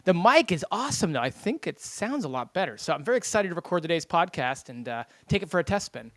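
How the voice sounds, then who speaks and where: An upbeat male co-host with a somewhat higher voice, talking right up close to a boom microphone. The sound is dry, with almost no room around it. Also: very animated, fast, a bit bright, dry recording. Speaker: podcast co-host. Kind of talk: casual podcast chat. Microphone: new boom mic close to the face.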